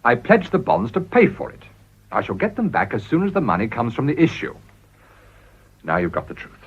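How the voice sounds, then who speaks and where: A man's voice: medium pitch, huge pitch swings, fairly quick, slightly rough, heavily acted. An actor playing a ruthless, desperate middle-aged businessman in a radio drama, with a British accent and a blustering, wheedling delivery. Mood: persuasive. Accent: British English. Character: ruthless, desperate, smooth-talking male businessman and political climber. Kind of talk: radio play acting. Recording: dull, muffled old radio recording.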